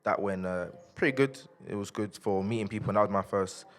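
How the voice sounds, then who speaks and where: Young guy, very deep voice, easygoing interview guest. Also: a little fast. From South East London, England. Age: 20s. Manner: relaxed, laid-back, lots of "yeah, yeah" fillers. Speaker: podcast guest. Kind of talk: casual interview chat.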